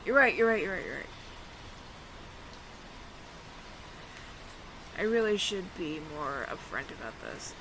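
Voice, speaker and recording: A woman's voice: fairly low pitch, sing-song, a little fast, background noise. A woman playing a nervous, evasive alien roommate in an audio roleplay, stammering and over-explaining to the listener. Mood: resigned, reluctant.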